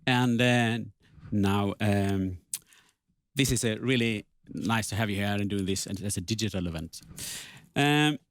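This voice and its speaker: A man's voice: fairly low pitch, unhurried, no echo. Man moderating, dry close sound. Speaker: event moderator. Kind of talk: live online event presenting, informal explanation.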